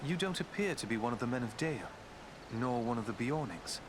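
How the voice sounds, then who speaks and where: An actor playing a noble woodland elf prince in a fantasy game, speaking in a formal, measured, solemn manner. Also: male voice, mid-range voice, very animated, natural voice. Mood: courteous, curious. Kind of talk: fantasy video game character acting. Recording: clear background ambient noise.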